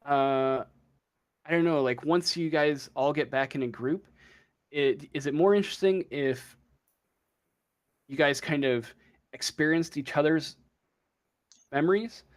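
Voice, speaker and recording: A man speaking as game master in casual tabletop game talk, halting and searching for words as he goes. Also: fairly high pitch.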